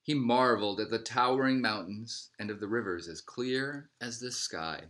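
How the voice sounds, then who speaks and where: A man reading a picture book aloud as a narrator, in measured phrases that settle at the ends. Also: mid-range voice, fairly bright tone. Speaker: storybook reader.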